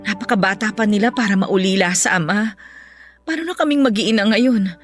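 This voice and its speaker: A woman's voice: mid-range voice, brisk, natural voice. An actress playing a grieving young widowed mother, plaintive and emotional, in a Filipino radio love-story drama. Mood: sorrowful, anxious. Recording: music bed under the voice.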